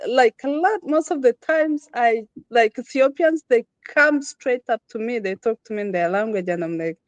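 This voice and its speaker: A woman's voice: a little high, expressive, normal speed, no echo. Rwandan woman on a podcast, casual storytelling, repeats herself for emphasis, dry sound. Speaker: podcast guest. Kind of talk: casual conversational interview.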